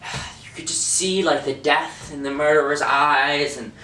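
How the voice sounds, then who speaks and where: A woman's voice: deep voice, steady tone, unhurried, sharp tone, distant mic, exaggerated voice. A frayed, stammering actor , voiced by a woman, whose nervous laughter keeps breaking through. The voice sits back from the mic in a roomy, reverberant space. A faint hiss runs underneath. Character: anxious male actor unraveling into hysteria.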